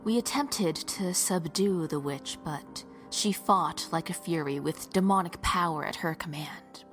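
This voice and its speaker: Woman acting a stern, low-voiced female holy warrior. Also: measured pace, a bit bright, soft background music. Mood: solemn, resolute. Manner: formal, declamatory, resolute. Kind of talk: fantasy audio drama acting.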